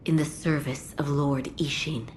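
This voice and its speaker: Low-voiced, slow, composed female doctor. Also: steady tone, a little mellow, a little hiss or hum. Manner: formal, reserved, deliberate. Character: composed adult female doctor, dutiful servant of a lord. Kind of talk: video game cutscene acting.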